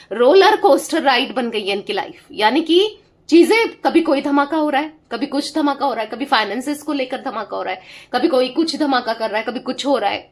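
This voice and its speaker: High-voiced woman reading tarot with a sore throat. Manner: emphatic, repetitive, talks straight to the viewer with frequent "theek hai?". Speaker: tarot reader. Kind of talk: direct-to-camera tarot reading.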